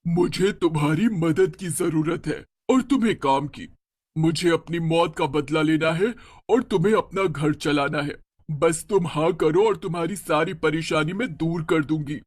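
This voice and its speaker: A man voicing a vengeful witch ghost in a cartoon horror tale, coaxing and commanding with drawn-out words. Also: fairly high pitch. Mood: commanding, bargaining. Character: vengeful female witch ghost, eerily inviting, bargaining. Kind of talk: cartoon horror moral story.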